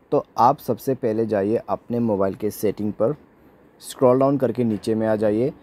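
A man's voice: medium pitch, mellow, slight background noise. A man giving a step-by-step phone troubleshooting tutorial as a voice-over in an instructional way. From India. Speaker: tech tutorial host.

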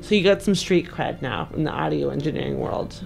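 A woman's voice: sits low, brisk, gravelly, darkish tone, faint music behind. A woman co-hosting a podcast in casual, conversational talk. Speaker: podcast co-host. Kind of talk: casual chat.

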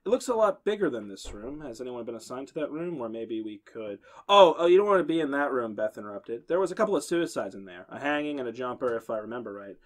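A young man hosting a podcast, reading a horror story aloud to friends and pitching up for the girls' lines. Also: big pitch swings, fast talker, few pauses.

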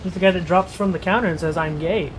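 A man co-hosting a let's play with casual, excitable, jokey and sweary commentary. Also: high voice, fast talker, background noise. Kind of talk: casual video game commentary. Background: horror game sound.

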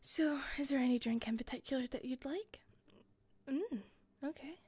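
A woman with a softened Scottish accent playing an attentive hostess in a roleplay, speaking softly into a close mic with frequent giggles and whispers. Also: sits high, moderate pace, no room sound. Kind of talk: listener-directed roleplay.

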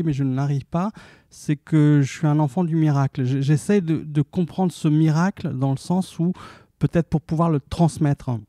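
A man in his fifties from Algeria, an author answering interview questions haltingly, with many restarts, holding a handheld mic on a stage indoors. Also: medium pitch, creaky, mellow, no room sound, studio quality. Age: 50s. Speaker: author guest. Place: cultural institute stage, indoors.

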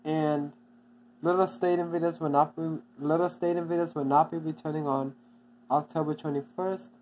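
Casual channel update, repetitive, stop-start delivery, middle pitch, soft background hum. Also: fairly even intonation, poor audio. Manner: halting, repeats itself often, many restarts. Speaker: channel owner giving an update.

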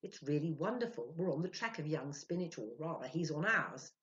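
Storybook husband, acted by a woman: impatient, emphatic, self-important, wide swings of pitch. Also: animated, brisk, fairly bright tone. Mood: excited. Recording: dull, lo-fi sound.

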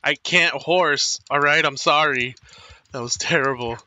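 A man's voice: on the high side, a little slow, bright, forceful tone, no room sound. A young man with no accent commentating his game at home, rambling and apologizing as he tells self-mocking stories. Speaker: gaming streamer. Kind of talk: casual let's play commentary.

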